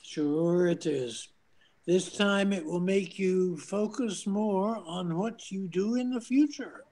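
An older woman playing God as a wry, unimpressed deity with a dry, deliberate manner in an amateur radio play. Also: medium pitch, mellow. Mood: skeptical.

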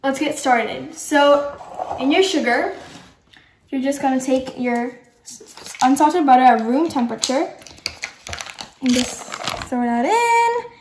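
Bubbly teen girl with a high voice. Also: lively intonation, takes it slowly, pauses often, background noise. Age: teens. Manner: bubbly, chatty, enthusiastic. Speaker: cooking show host. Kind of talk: casual cooking demo. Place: home kitchen, indoors. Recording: echoey kitchen room.

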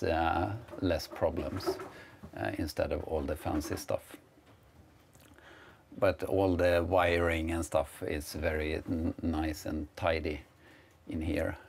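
A Swedish man in his fifties talks through the cabin of his sailboat in accented English, halting often on "uh". His voice sits very low with a gravelly edge, and he speaks slowly. He is recorded on a lapel mic inside the small wooden cabin. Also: slight background noise. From Sweden. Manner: hesitant, many "uh" fillers, friendly. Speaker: sailing vlogger giving a boat tour. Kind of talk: casual guided tour. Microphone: clip-on lapel mic.

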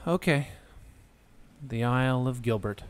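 A man voicing a mild, obliging native companion to a knight in a cartoon, speaking in a polite, deadpan, understated way. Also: medium pitch, a little slow, slight background noise, lightly acted. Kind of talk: dry comic cartoon acting.

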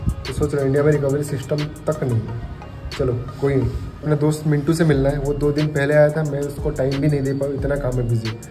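A man's voice: medium pitch, steady tone, a little fast, mellow. A young man talking casually to a phone held at arm's length, mixing Hindi with English, in a vlog. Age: young adult. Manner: chatty, mixing Hindi and English, bits of Odia. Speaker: vlogger. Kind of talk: casual travel vlog to camera. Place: indoors and outdoors, rooms, street, station. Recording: music playing behind the voice.

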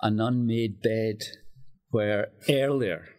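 A man's voice: fairly low pitch, slow, a little mellow. A Scottish man in his sixties from the west of Scotland, talking thoughtfully and telling anecdotes as a guest in a reflective radio conversation. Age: 60s. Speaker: interview guest, writer.

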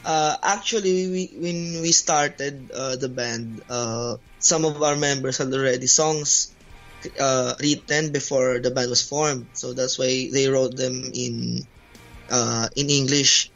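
A man's voice: medium pitch, fairly even intonation, takes it slowly, vocal fry, a bit bright. A man from the Philippines with a Filipino accent, a native Tagalog speaker, answering interview questions hesitantly. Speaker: band bassist, interview guest. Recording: dull lo-fi call audio, music behind. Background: music.

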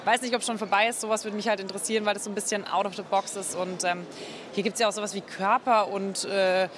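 A young woman speaks candidly and at ease, giving her frank opinions in a casual interview. Her voice is of middling pitch for a woman. A handheld mic picks her up with clear hall noise behind. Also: steady tone, fast. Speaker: journalist colleague as guest. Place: lounge area of a convention hall. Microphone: reporter's handheld microphone.

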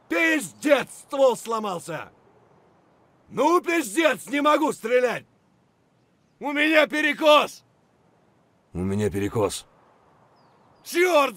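An actor playing an aggressive, cursing Russian soldier in a military shooter game, with a rough, husky voice. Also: male voice, extremely high pitch, bright, forceful tone, no room sound, full character voice. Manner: barking, cursing. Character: aggressive, foul-mouthed Russian male soldier in combat.

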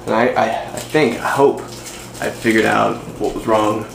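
Young man, low-pitched, rambling self-mocking vlog talk, handheld camera, loud car and outdoor noise. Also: fairly even intonation, normal speed, vocal fry. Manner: rambling, self-deprecating, loose. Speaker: vlogger. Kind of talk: casual vlog chat. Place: inside a parked car, then outdoors and a home kitchen. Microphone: handheld camera at arm's length.

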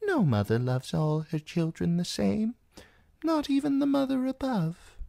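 A narrator voicing a frail, blind, very old male maester who speaks softly and wisely. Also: high-pitched, big pitch swings, gravelly, no echo, clean studio sound, pitched above the performer's own voice, exaggerated voice. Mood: grave. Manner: soft, slow, hushed speech. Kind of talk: audiobook character voice.

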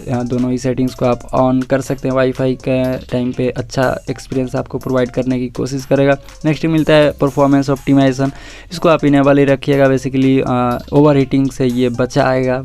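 A man's voice: medium pitch, a little mellow, clean tone. An Indian man giving a casual phone tutorial as a tech video host, mixing in English words and addressing viewers as guys.

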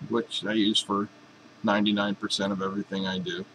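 A man's voice: fairly low pitch, flat delivery, fairly quick, fairly bright tone, a little hiss or hum, low-quality recording. A man in a home workshop explaining a welding torch swap in a casual, joking, folksy way. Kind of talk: casual workshop how-to narration.

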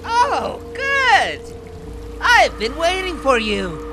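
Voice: extremely high pitch, wildly swooping pitch, very slow, music in the background, heavily acted. An actor playing a playful, ominous child spirit of the afterlife, theatrical and taunting, in an audio comic drama. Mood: gleeful.

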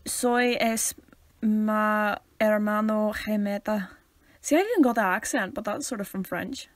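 A young woman co-hosting a casual podcast, chatty and teasing, quick to laugh. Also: medium pitch, dry recording. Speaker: podcast co-host. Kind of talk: casual chat.

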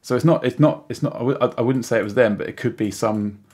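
A man's voice: medium pitch, fast, a little mellow, husky. A British man of about forty, a podcast guest chatting casually in a rambling way. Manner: rambling, frequent "you know". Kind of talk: casual chat.

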